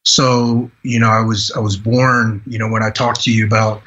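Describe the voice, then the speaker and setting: Podcast guest, male, 40s, from Vietnam. Earnest storyteller who restarts often. Sharp tone, muffled sound. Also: fairly low pitch, little pitch movement. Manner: earnest, halting delivery with frequent "you know" restarts. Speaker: podcast guest, retired Green Beret. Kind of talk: personal storytelling in a podcast interview.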